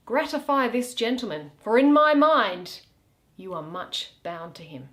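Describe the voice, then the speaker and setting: A woman's voice: on the high side, sing-song, a little slow, fairly bright tone. A woman reading an authoritative, stern duke in Shakespearean verse. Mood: courteous. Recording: roomy, echoey indoor recording.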